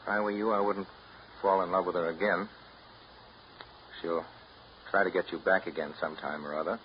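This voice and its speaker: An actor plays a weary, detached man with a low voice. He is blunt and resigned, in the manner of 1930s radio drama. The recording is muffled, with a faint layer of noise. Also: fairly even intonation, moderate pace, lightly acted. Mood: indifferent, resigned.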